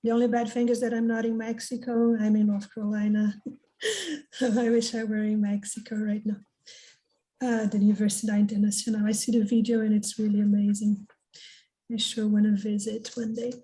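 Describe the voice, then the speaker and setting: Mellow Brazilian woman on a video call. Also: medium pitch, little pitch movement, moderate pace, low-quality recording. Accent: Brazilian Portuguese. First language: Portuguese. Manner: friendly, chatty, with some fillers and restarts. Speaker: conference presenter, professor. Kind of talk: informal remarks before an academic paper. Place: home or office in North Carolina.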